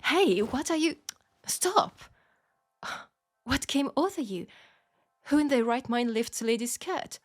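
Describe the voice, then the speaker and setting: A woman with a French accent playing a proud, wry young Parisian seamstress in a scripted audio roleplay, speaking in a measured, pointed way. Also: sits high, animated, no room sound. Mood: indignant. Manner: measured, pointed, sardonic, with sighs.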